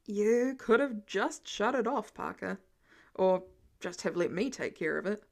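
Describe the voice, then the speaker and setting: A woman's voice: medium pitch, expressive, a little slow, vocal fry, a little mellow. Woman voicing a self-assured rich teenage boy. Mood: teasing. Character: smug, protective teenage boy, rich heir.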